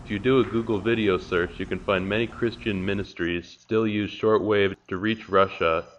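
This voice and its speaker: A man's voice: lowish voice, steady tone, moderate pace, slight background noise. A man in his fifties giving scripted interview answers in a stiff, read-out way over a lo-fi connection. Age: 50s. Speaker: interview guest, ham radio operator.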